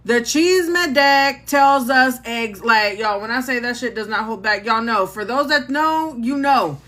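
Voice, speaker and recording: A woman's voice: fairly high pitch, measured pace, fairly bright tone. Chatty woman with a country accent. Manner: chatty, talks to her chat. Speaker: tarot reader livestream host. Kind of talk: casual live chat.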